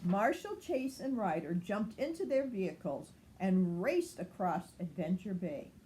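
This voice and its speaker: A woman's voice: mid-range voice, expressive, measured pace, dark tone, a little hiss or hum. An older woman, a grandmother, reading a children's storybook aloud.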